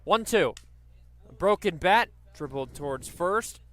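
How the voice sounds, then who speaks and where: A man's voice: sits high, expressive, a little slow, fairly bright tone. A man doing live radio play-by-play commentary of a baseball game.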